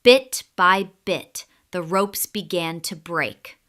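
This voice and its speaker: A woman storyteller reading a scripted children's story in an expressive, deliberate way. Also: fairly low pitch.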